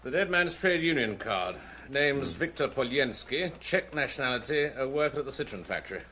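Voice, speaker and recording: Man, loyal police sergeant, terse, deferential, lively intonation, radio play, noisy background. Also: mid-range voice, normal speed. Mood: brisk reporting. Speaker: actor in a radio drama. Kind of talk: British radio drama acting.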